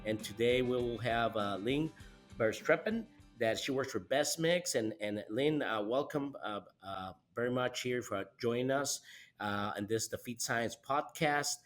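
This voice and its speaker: A man with a Costa Rican accent, a native Spanish speaker, hosting a conversational podcast interview in a halting way, often repeating words and restarting. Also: medium pitch, faint music behind. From Costa Rica. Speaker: podcast host.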